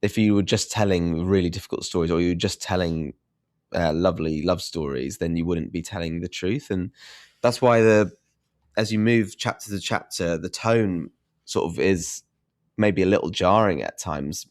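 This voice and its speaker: A man's voice: low voice, normal speed, a little mellow, no room sound, clean studio sound. A young man, an author being interviewed, with a nasal, congested voice; thoughtful and self-deprecating, laughing often. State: congested from hay fever. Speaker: guest author. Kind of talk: casual interview chat.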